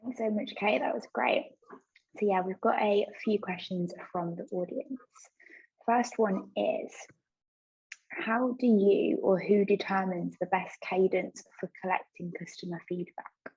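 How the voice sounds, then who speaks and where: Husky woman moderating a session. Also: medium pitch, unhurried, a little mellow, muffled recording. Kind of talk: reading audience questions.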